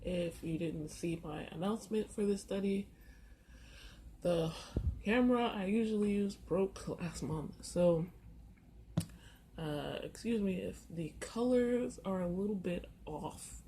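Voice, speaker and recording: A woman with a sore throat giving a casual, unhurried walkthrough in her apartment. She pauses often and fills gaps with soft hesitations. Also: medium pitch. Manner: unhurried, halting, with many filler pauses. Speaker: tarot and oracle card content creator. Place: first-floor apartment on a busy street. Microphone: camera. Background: faint street noise.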